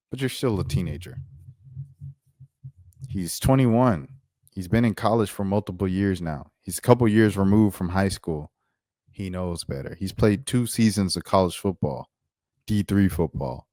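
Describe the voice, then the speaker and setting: A man's voice: deep voice, lively intonation, normal speed, mellow, no echo. An American man giving a casual, opinionated sports rant in a slangy, incredulous, emphatic way, repeating himself for effect. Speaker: sports commentator, show host.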